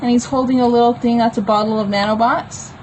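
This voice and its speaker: A woman's voice: a little high, steady tone, quick pace, a little hiss or hum, low-quality recording. A woman reading her own homemade comic aloud in a plain, unpolished way, with informal explanatory asides. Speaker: comic book author reading own work.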